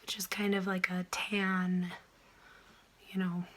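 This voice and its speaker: A woman who is sick and losing her voice, chatting softly through a makeup tutorial, often dropping into a whisper. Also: fairly low pitch, level tone, slow pace, a little hiss or hum. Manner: soft, chatty, trailing into whispers. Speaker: beauty vlogger.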